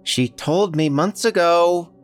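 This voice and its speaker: A soft-spoken, dry-witted mother in a read-aloud story, unhurried and unbothered, as a male narrator puts her on. The voice is lifted well above his own and drawn out slowly, with faint music beneath. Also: on the high side, voice pushed up. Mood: calm, dry. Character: mild, calm mother, gently wry.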